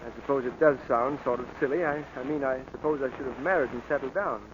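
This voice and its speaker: A man's voice: medium pitch, quick pace, lightly acted. An American actor playing a shy, naive, earnest young scientist in 1940s radio drama style, flustered and hesitant as he talks. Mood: shy, smitten. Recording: muffled, noisy 1940s radio broadcast.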